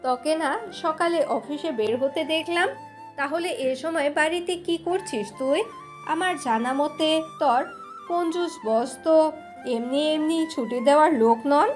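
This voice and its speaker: An actress playing a firm, protective elder sister in an audio story, scolding and decisive. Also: female voice, high voice, a little slow, over music. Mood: suspicious.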